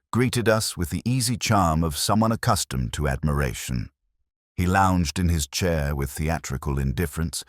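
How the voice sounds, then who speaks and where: A man plays a brooding older detective telling a mystery story in an extremely deep voice. His delivery is measured and literary, with a lively rise and fall, recorded close and dry. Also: no room sound, full character voice. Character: brooding older male police detective narrating his case. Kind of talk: scripted first-person mystery narration.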